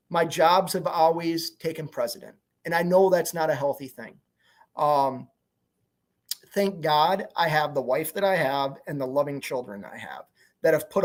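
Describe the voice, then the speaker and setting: A man in his forties, a truck owner-operator, chatting candidly on a livestream in a rambling way and answering viewer comments. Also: fairly high pitch. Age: 40s. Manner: rambling, candid, repeats "you know what I mean". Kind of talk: casual livestream chat reading comments.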